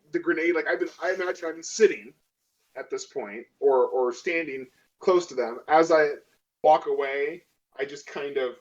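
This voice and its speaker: A man's voice: on the high side, muffled recording. A man playing a tabletop role-playing game, casually improvising what his character does in a rambling, profane way.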